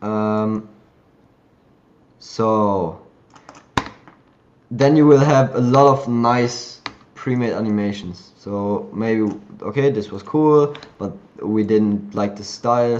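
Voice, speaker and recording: Chatty man demoing software on a call. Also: fairly low pitch, slow, lo-fi sound, slight background noise. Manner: loose and chatty, thinks aloud while clicking through software. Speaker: host of a live community call. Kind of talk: casual screen-share tutorial.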